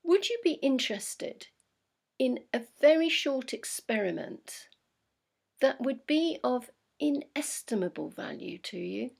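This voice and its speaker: A patient, persuasive owl in a storybook, voiced by a woman. He coaxes gently and slowly, every phrase measured and assured. The voice sits fairly high with a faint rasp. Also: lively intonation, full character voice. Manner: measured, coaxing. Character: calm wise male owl, persuasive.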